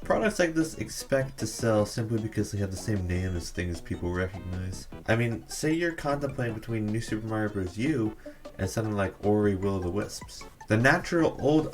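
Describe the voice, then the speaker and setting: A man with a fairly low voice delivers scripted video essay commentary. He is opinionated and sarcastic, and music plays behind him. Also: expressive, normal speed.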